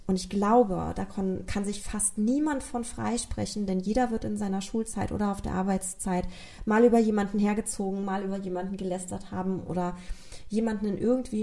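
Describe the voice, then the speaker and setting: A woman's voice: mid-range voice, mellow. A woman from Rhineland-Palatinate with a regional German accent, earnestly retelling a true crime case as a podcast host and speaking directly to her listeners.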